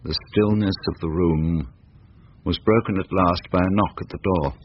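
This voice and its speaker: A man's voice: low-pitched, normal speed, background noise. A man narrating a scripted story in a dramatic, classic storytelling style. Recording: dull lo-fi radio sound, faint music.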